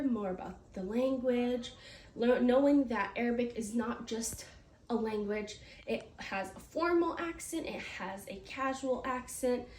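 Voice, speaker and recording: A woman's voice: mid-range voice, darkish tone. Teen girl giving a class speech. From United States. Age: teens. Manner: earnest, with small slips and restarts. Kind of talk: prepared speech read aloud. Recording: echoey room, mic far off, faint noise.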